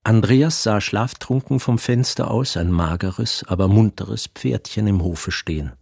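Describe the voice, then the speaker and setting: A man's voice: on the low side, a little slow, creaky, darkish tone, breathy edge, dry recording, clean studio sound. A man narrating a literary radio play as storyteller.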